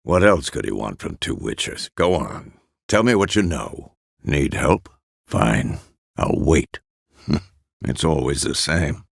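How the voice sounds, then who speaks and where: A man playing a gruff, weathered old monster-hunter mentor in a video game, terse and dry, with a gravelly voice. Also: rumbling low, very animated, slow, no room sound, full character voice. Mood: wry, patient. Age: older. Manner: terse, clipped phrases, dry. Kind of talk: scripted video game character dialogue.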